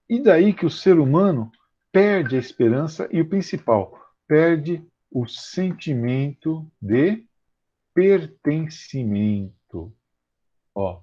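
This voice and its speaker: A Brazilian man teaching a history class online over a video call, explaining with many fillers and slowly repeating key terms. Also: medium pitch, a little mellow, husky. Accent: Brazilian Portuguese. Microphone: computer mic on a video call. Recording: muffled, slightly dull video call audio.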